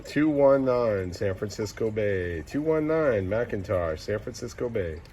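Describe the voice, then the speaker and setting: A man's voice: lowish voice, lively intonation, unhurried. A man working a CB radio in casual, slangy talk, repeating clipped callsigns and numbers. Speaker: CB radio operator. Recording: loud radio static and noise.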